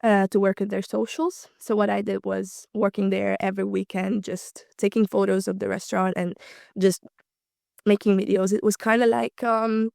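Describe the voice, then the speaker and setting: A woman's voice: mid-range voice, flat delivery, moderate pace, gravelly, a little mellow, no echo, studio quality. A woman in her twenties from Slovakia, a native Hungarian speaker, chatting casually as a podcast guest with frequent ums and light laughs. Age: 20s. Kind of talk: casual conversational interview.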